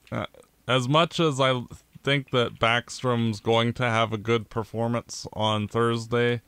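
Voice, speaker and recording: A man co-hosting a casual sports podcast, talking in a gloomy, measured way. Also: fairly low pitch, fairly bright tone, smooth, dry recording. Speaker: podcast co-host.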